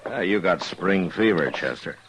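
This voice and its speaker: A steady, watchful US marshal of the Old West with an American accent. The voice is low and the delivery terse and dryly wry. It comes from a noisy old radio recording. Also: male voice, moderate pace. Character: steady, watchful, world-weary male frontier US marshal. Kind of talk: 1950s radio western acting and narration.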